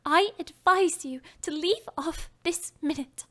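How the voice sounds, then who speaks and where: A woman's voice: very high-pitched, slow, fairly bright tone, voice pushed up, heavily acted. A woman voicing the little girl Alice, earnest and chatty, in a storybook reading. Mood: scolding.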